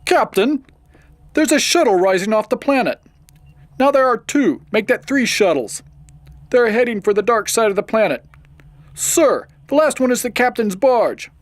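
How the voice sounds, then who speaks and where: A woman's voice: a little high, expressive, moderate pace, no room sound, close to an everyday voice. A woman acting an alert starship officer in an audio drama, giving clipped military reports. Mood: alert, urgent. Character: alert female starship officer tracking enemy craft.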